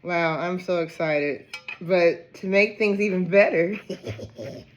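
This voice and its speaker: A man from Ohio chatting casually to camera in a gardening vlog, rambling and low on energy, often breaking into excited exclamations. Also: takes it slowly, slight background noise. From Ohio, USA. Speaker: gardening vlogger.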